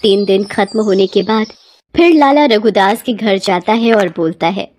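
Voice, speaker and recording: A woman's voice: medium pitch, measured pace, darkish tone, a little hiss or hum. A woman narrator reading a scripted moral bedtime story.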